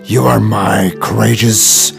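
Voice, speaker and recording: A man voicing a grateful, regal lion king in a children's storybook, with a deep, gravelly voice and a solemn delivery. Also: steady tone, takes it slowly, over music, full character voice.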